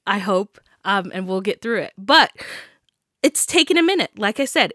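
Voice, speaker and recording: A woman's voice: medium pitch, fairly bright tone, dry recording, studio quality. A young woman hosting her own podcast, stuffy from a sinus infection, chatting casually with frequent laughs and ums. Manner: chatty, giggly, many ums. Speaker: podcast host. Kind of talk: casual solo podcast chat.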